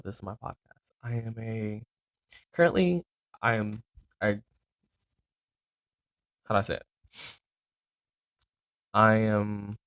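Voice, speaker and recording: A man's voice: on the low side, creaky, no room sound. A man in his twenties hosting his own podcast, talking loosely about his week with many ums and self-corrections. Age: 20s. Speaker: podcast host. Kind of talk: casual rambling monologue.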